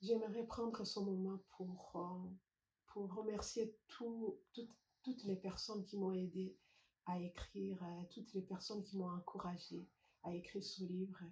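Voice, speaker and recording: A middle-aged woman from Rwanda, an author giving a personal testimonial in a hesitant, measured, sincere way. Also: medium pitch, level tone, darkish tone. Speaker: author presenting her book. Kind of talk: unscripted personal testimonial to camera. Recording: roomy echo, faint background noise.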